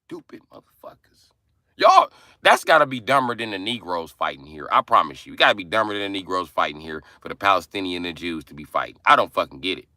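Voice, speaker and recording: A young adult man ranting angrily and forcefully on a livestream from inside his car. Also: low voice, animated, fast talker, dry recording, studio quality. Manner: angry, forceful, profane ranting. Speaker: livestream host. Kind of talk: angry profane livestream rant.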